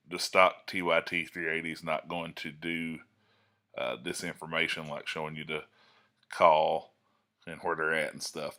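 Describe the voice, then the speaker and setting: Deep-voiced man, bright and forceful. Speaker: amateur radio tutorial host. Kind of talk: casual unscripted demonstration.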